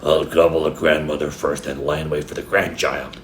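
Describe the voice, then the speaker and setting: A man voicing a big bad wolf from a storybook, gruff, sly and greedy with false politeness. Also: rumbling low, level tone, a little fast, roomy sound, a little hiss or hum. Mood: scheming.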